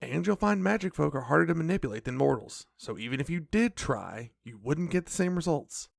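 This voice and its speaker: An actor playing a cautious, nervous magical companion in an audio drama, creaky and admonishing. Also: mid-range voice, expressive, brisk, no echo, studio quality. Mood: stern, wary. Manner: wary, admonishing.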